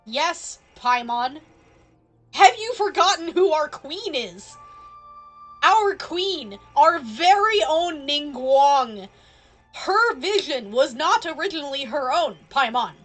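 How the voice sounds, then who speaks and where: Girl streamer chatting back at her game, high voice, playful, emphatic, music in background. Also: sing-song, slow pace, a bit bright. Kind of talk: casual live playthrough commentary, answering characters.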